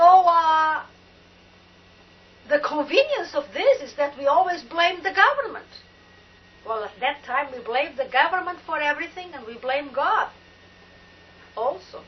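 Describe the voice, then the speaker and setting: An older Portuguese-accented woman gives a spiritual talk in a high voice that swoops up and down. She speaks slowly and emphatically. The recording is dull and lo-fi, like an old cable TV tape. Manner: emphatic and exclamatory, drawn-out words. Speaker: spiritual talk show host.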